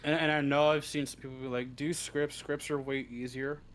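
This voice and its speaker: A man's voice: a little high, little pitch movement, brisk, slight background noise. A man chatting casually as an interview guest, in a joking, self-deprecating way. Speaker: interview guest, script writer.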